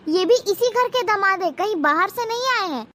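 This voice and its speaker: A woman playing an indignant young married daughter who speaks up reproachfully in an animated moral story. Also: very high voice, normal speed, slight background noise, exaggerated voice. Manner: pleading, reproachful.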